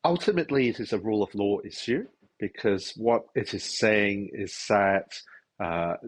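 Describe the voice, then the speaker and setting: A man who is a lawyer and activist, answering an interview in careful, deliberate legal phrasing. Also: lowish voice, slightly rough.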